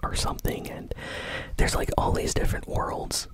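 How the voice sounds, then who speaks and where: A man whispering casual gaming news as an ASMR host, breathy and informal, rambling a little. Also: normal speed.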